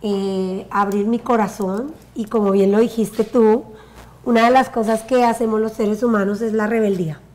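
A woman's voice: mid-range voice, normal speed. A woman in her forties from Colombia, speaking Spanish with a Colombian accent, telling her life story as a guest in a casual interview, talking with her hands. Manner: animated, gestures with her hands. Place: bright living room with a sofa and water view.

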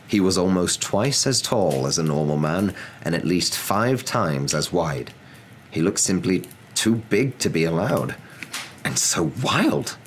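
A man's voice: deep voice, slight background noise. A young man narrating a storybook in an ASMR reading. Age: young adult. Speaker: storybook reader.

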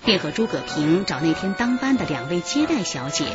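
Female narrator for police radio drama: serious, expressive mid-pitched delivery, music underneath. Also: fairly quick. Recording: dull sound under loud music.

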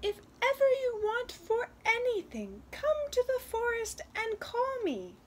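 Storybook wren played by a woman: tiny magical bird, kindly and gracious, very high voice, lively swoops. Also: unhurried, slight background noise, exaggerated voice. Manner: gentle, gracious, formal storybook speech. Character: tiny enchanted female wren, kindly, cheerful, grateful.

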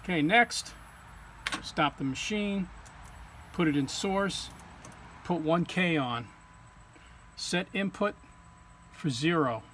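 A man's voice: fairly high pitch, expressive, slow pace, pauses often, a bit bright. A man talking through an informal technical tutorial step by step, in a plain-spoken way as he demonstrates. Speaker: technician demonstrating equipment calibration. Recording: clear background hum or ambient noise.

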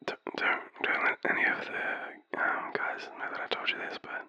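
A man's voice: normal speed, bright, forceful tone, whispered, highs cut off. A man with an Australian accent playing a drunk, shy, apologetic young man in an intimate boyfriend roleplay, stammering through a late-night call. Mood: hushed, tender. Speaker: audio roleplay performer.

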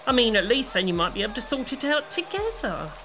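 A woman's voice: fairly high pitch, sing-song, fast, music in the background. An actress playing a kindly, chatty British hairdresser in an audio play, motherly and full of endearments. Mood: soothing sympathy. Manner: motherly, calls people "love".

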